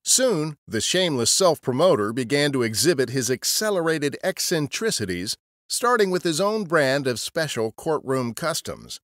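A man's voice: fairly high pitch, sing-song, moderate pace, a bit bright, no echo, clean studio sound. A man narrating a scripted history story as a podcast storyteller.

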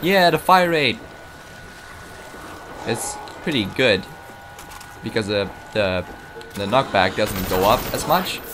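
A man's voice: on the low side, lively intonation, slow pace, long pauses. A young man giving casual, rambling, self-mocking commentary while playing a game. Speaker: gameplay commentator. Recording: noisy game audio behind.

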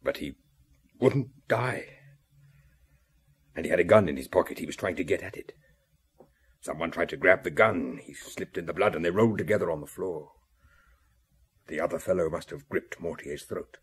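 An actor in a radio drama playing a guilt-ridden middle-aged family man, husky and halting as he confesses gravely. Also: deep voice. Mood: haunted, grim.

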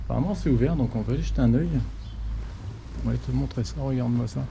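A man's voice: mid-range voice, quick pace, gravelly, dark tone, dull audio, slight background noise. A man in his fifties, a motorcycle vlogger, chatting to the viewer as he explores a church and points things out. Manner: chatty, marvelling, talks to the viewer directly. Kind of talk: casual walking tour commentary. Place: inside a stone church and tower. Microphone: action camera held close.

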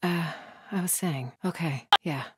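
Wary female cult fighter, husky, tense edge, terse video game barks, very dry recording. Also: on the low side, measured pace, no echo. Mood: low, hesitant. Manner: short, urgent, terse phrases. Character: wary, fierce female cult soldier on patrol.

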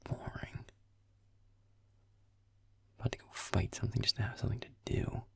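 A man playing a grumpy, sarcastic werewolf boyfriend in a gaming roleplay audio, muttering irritably with swearing in a low, breathy, gravelly voice. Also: normal speed, a little mellow, in a whisper, natural voice. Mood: bored muttering.

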